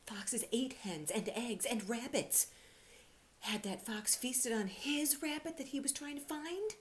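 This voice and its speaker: A woman reading aloud to children like a teacher, expressive, often dropping into breathy, hushed storytelling. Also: fairly high pitch, a little slow. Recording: faint background noise.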